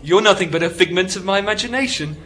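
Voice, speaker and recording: A man plays a lonely British astronaut stranded far from home in a radio drama. His high-pitched voice is anxious, halting and full of disbelief, over prominent music. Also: bright, forceful tone. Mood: startled, incredulous. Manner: agitated, incredulous, halting.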